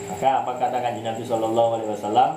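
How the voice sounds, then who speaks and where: Brief: male religious teacher, medium pitch, restarts words, echoey room with audible hiss. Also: level tone, rapid-fire. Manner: mixes in Javanese words, asks himself rhetorical questions; restarts words and draws out key phrases. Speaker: religious teacher leading a book study. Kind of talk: religious study lecture.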